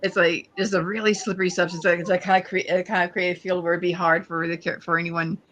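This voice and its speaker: A woman's voice: fairly low pitch, flat delivery, fast talker, fairly bright tone. A woman playing a tabletop roleplay game, casually explaining her ideas in a hesitant, tentative way. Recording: dull lo-fi voice audio.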